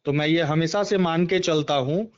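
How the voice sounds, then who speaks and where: A man's voice: a little high, normal speed, clear, resonant tone. A man giving a political interview over the phone, speaking emphatically and often repeating himself in agreement. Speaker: political party member and former election candidate, interviewee. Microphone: phone call. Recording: dull, band-limited phone call recording.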